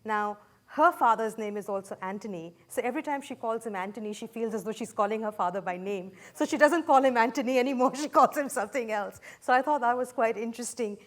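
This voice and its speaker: A woman moderating a panel discussion, measured and questioning, citing statistics to her guests. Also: a little high.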